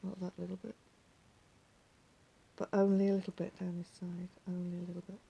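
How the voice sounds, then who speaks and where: A woman with a slightly croaky voice, patiently talking viewers through a craft step by step and often dropping to a near whisper. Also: on the low side, completely flat delivery, fairly quick, mellow, muffled recording. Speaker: needle felting teacher. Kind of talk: hands-on craft tutorial.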